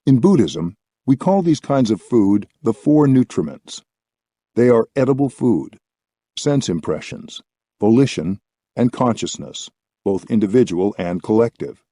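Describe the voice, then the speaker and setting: A man reading a reflective book as scripted narration, with measured, deliberate phrasing. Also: lowish voice, mellow, dry recording, clean studio sound. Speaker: audiobook reader.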